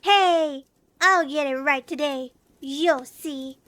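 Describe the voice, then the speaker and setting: A woman plays a young boy who dreams of being a mage in a fantasy audio drama. The voice is high and childlike, with slow, earnest lines full of stubborn insistence. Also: fairly bright tone. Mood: determined.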